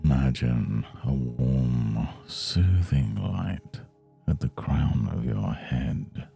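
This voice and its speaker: A man leading a guided meditation in a soothing, measured, hushed manner. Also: a bass voice, expressive, vocal fry, husky, soft background music. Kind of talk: guided Yoga Nidra meditation.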